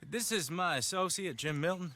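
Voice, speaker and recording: An actor playing an ingratiating, smooth-talking frontier middleman in a video game cutscene. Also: sits high, expressive, moderate pace, bright, forceful tone, a little hiss or hum, heavily acted. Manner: salesy and ingratiating.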